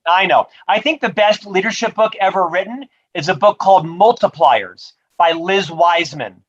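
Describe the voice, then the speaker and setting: Brief: upbeat male author guest, high voice, dull lo-fi call audio. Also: expressive, normal speed. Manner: upbeat, promotional, says he stutters but talks fluently. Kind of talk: rapid-fire interview answers.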